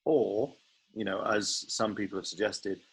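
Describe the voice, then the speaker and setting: A man's voice: fairly low pitch, fairly even intonation, a little slow, breathy edge. A man chatting casually as a podcast host, speculating in a tentative way. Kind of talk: casual podcast chat about a TV series.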